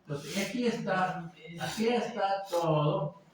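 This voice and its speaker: A man's voice: high-pitched, slow pace, crowd noise. Rambling male teacher in an echoey room. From Venezuela. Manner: rambling, hesitant, many fillers and tag questions. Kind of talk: informal lecture. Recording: echoey room, voice from a distance, faint background noise.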